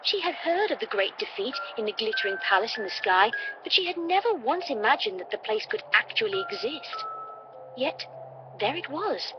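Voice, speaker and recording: A woman with a fairly high voice narrates a dramatic story. Music plays clearly behind her, and the sound is somewhat dull and lo-fi. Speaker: fan fiction reader. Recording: muffled lo-fi playback.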